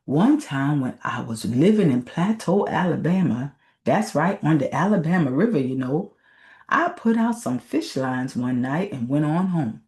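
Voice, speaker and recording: Woman doing a bragging folktale fisherman, southern dialect, mellow but lively yarn spinning. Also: deep voice, measured pace. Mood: boastful. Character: boastful male fisherman spinning tall tales among friends.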